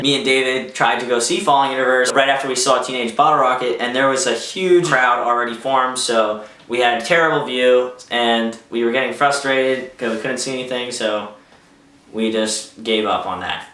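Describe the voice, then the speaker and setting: A young man telling a personal story in a casual vlog, with an excitable manner and acted-out quotes. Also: mid-range voice. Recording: roomy echo, distant microphone.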